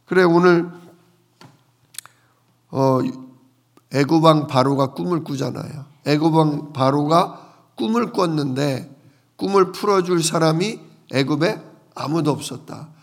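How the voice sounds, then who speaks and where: A man preaching a conversational Bible sermon as a pastor, explaining things with rhetorical questions in a gravelly voice. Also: on the high side, measured pace, long pauses. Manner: explanatory, asks the congregation rhetorical questions and answers them.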